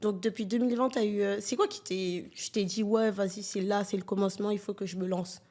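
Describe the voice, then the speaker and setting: A twenty-one-year-old woman hosts a relaxed podcast chat with two guests. She talks loosely and playfully, teasing and laughing as she goes. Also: medium pitch, fairly quick. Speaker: podcast host. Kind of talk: casual chat.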